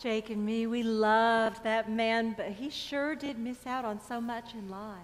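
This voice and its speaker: A woman's voice: on the high side, steady tone, unhurried, natural voice. A woman acting a loyal, world-weary jazz club regular in a stage drama, with folksy slang. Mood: wistful, weary. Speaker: actor in staged reading. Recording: echoey theater hall.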